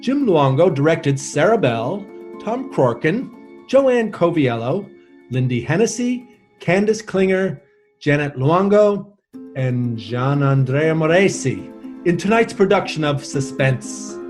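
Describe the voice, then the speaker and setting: A man's voice: a little high, big pitch swings, slow, a little mellow. A man as announcer, reading scripted radio drama narration and credits with guitar music behind him.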